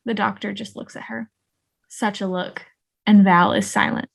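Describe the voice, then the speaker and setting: A woman's voice: medium pitch, steady tone, moderate pace, vocal fry. A woman narrating the stage directions of a drama script at an online table read.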